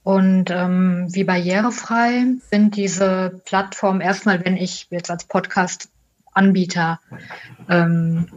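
A woman's voice: lowish voice, steady tone, takes it slowly. A woman working as an interviewer, putting questions in a conversational way, on a dull lo-fi recording.

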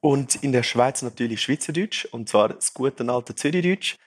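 A man's voice: mid-range voice, animated, normal speed. A man in his thirties, raised in Switzerland, with a light French-tinged accent, chatting casually as an interview guest and using lots of fillers. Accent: light French-tinged Swiss. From Brazil, raised in Switzerland. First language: Portuguese and French. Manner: chatty, self-deprecating, many fillers. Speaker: startup founder, guest.

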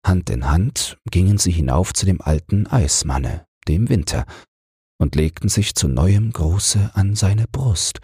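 A man reading a fairy tale as a bedtime audiobook in a measured, soothing storytelling manner. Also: sits low, animated, a little mellow, slightly rough, dry recording, studio quality. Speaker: audiobook reader.

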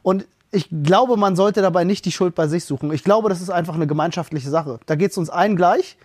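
A man's voice: high-pitched, fairly quick, a little mellow, no room sound, clean studio sound. A man co-hosting a casual podcast chat, talkative and hesitant, with many fillers and restarts. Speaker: podcast co-host.

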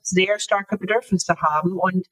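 Halting, bright-voiced woman guest. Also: fairly low pitch, steady tone, poor audio. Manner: halting, searching for words with many fillers. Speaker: author, interview guest. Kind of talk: casual interview talk.

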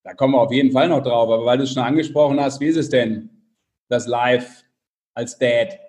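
A man's voice: mid-range voice, fairly even intonation, fairly quick, darkish tone. A man co-hosting a podcast in a casual, joking way. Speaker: podcast co-host. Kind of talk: casual chat, bantering.

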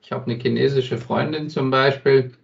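A man with a gravelly voice explains his views as an interview guest, pausing often to search for words. He sounds set back from the microphone. The recording is a little dull. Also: mid-range voice, steady tone. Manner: thoughtful, frequent hesitation fillers and restarts. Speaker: industry consultant as interview guest. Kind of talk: conversational interview answers. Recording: dull sound from some distance.

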